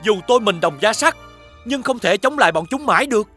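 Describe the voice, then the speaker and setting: A man's voice: sits high, lively intonation, fairly quick, bright, forceful tone, breathy edge, faint music behind, heavily acted. A brave young cartoon hero, resolute and earnest. He speaks like someone rallying villagers in a fairy tale. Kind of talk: animated fairy-tale voice acting.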